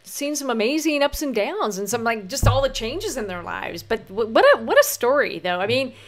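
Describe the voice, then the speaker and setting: A woman hosts a casual interview, effusive and full of praise. Her pitch sits a little high and moves around a lot as she talks. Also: sing-song, normal speed. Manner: effusive, warmly encouraging, uses y'all. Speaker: host.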